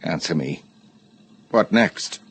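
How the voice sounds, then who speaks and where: An actor playing a proud, hot-tempered middle-aged judge under threat in a radio drama, curt and indignant. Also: male voice, deep voice, lively intonation, takes it slowly, creaky, slight background noise, exaggerated voice. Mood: bitter.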